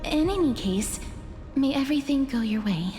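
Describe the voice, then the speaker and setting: A woman's voice: high-pitched, lively intonation, moderate pace, warm tone, big-room sound, faint music behind. A woman playing a shy, awkward, well-meaning young woman in a fantasy game, hesitant and apologetic. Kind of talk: video game voice acting.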